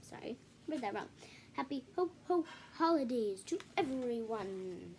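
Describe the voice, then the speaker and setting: A woman hosting a children's read-aloud video, reading a picture book with casual, halting, self-correcting asides to viewers. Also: fairly high pitch, animated, a little mellow, a little hiss or hum. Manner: halting, self-correcting, apologetic while showing pages.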